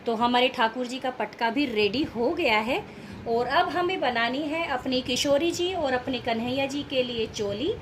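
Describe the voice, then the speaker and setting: A woman's voice: sits high, a little slow, a little hiss or hum. A woman with a sore throat, explaining craft steps in a casual, instructional tutorial style. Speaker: host of a craft tutorial channel. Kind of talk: casual step-by-step sewing tutorial narration.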